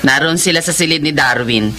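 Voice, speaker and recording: A woman's voice: deep voice, moderate pace, bright, forceful tone. An actress playing a stern, scheming middle-aged mother in a Filipino radio drama. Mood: knowing, ominous. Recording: noise behind the voice.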